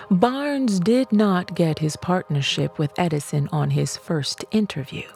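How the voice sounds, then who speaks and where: A woman reading an audiobook as scripted narration, in a measured, deliberate way with emphatic phrasing. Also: fairly low pitch, warm tone. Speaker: audiobook reader.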